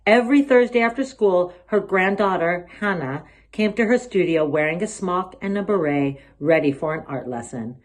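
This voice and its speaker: A woman reading a children's picture book aloud as an expressive storyteller. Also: lowish voice, moderate pace, hardly any pauses. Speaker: storybook reader.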